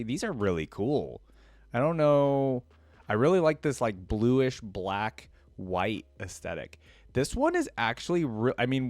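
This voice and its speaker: A man's voice: medium pitch, no room sound. A man giving casual reaction commentary as a video host, rambling and thinking aloud.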